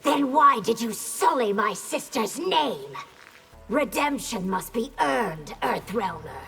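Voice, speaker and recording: High, creaky, imperious empress. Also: female voice, animated, pushed and bright, soft background music, full character voice. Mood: imperious warning. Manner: imperious, measured. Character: proud, threatening young empress of an otherworldly realm. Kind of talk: fighting game dialogue acting.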